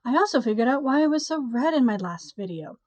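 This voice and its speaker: A woman's voice: sits high, animated, quick pace, a little mellow, no echo, dull audio. Young woman, stuffy nasal voice, cold, rambling vlog chat, dry close sound. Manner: chatty, rambling, with sighs and laughs. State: congested from a cold. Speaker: vlogger. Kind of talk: casual chat to camera.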